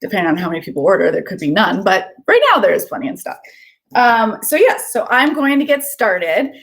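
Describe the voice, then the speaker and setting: A woman children's author chatting casually and reading aloud to children, with an excitable manner. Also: medium pitch, a little fast, creaky. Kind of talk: casual chat and animated read-aloud for children.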